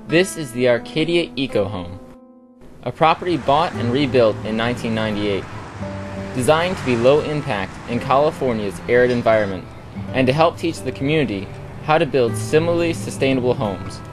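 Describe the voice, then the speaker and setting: Male narrator, mid-range pitch, scripted documentary read, clear guitar music bed underneath. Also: lively intonation, normal speed.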